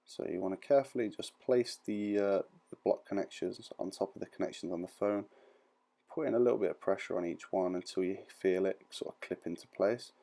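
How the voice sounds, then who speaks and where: Low, creaky-voiced man giving instructions. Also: a little fast, mellow. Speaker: repair tutorial presenter. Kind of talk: informal step-by-step instructional voice-over.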